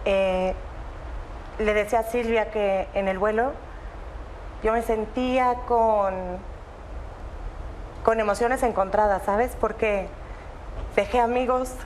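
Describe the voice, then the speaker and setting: A woman's voice: medium pitch, steady tone, slow pace, long pauses, darkish tone, slight background noise, rough recording. Young woman recounting her evacuation. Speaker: interviewee, evacuee and student. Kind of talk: emotional interview testimony.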